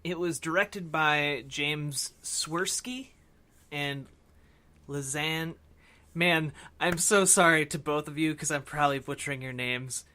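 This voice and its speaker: Bright, higher-pitched male podcast host. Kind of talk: casual film discussion.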